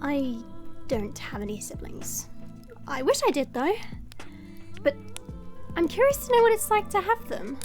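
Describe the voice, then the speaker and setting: A woman playing a gentle, courteous young princess in a fantasy audio drama, politely inquisitive. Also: high-pitched, big pitch swings, measured pace, long pauses, soft background music. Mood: curious.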